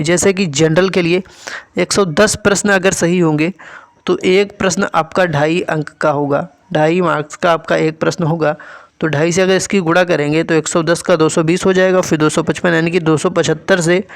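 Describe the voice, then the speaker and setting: A man's voice: a little high, creaky, no echo. A man teaching as an exam coach, explaining score figures to students in an instructional way and repeating key points. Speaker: exam coach and channel host.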